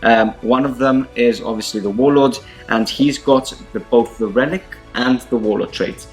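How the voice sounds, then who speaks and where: A man's voice: mid-range voice, moderate pace, faint music behind, poor audio. A man whose first language is Spanish, hosting a tabletop wargame show with casual, unscripted rundowns. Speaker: host of a tabletop wargame battle report show.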